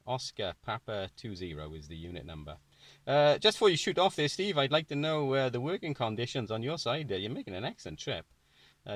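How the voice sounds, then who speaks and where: Dry sound, British man, lowish pitch, casual radio operator chat, repeated phrases for clarity. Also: a bit bright, dry recording. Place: inside a parked or mobile car.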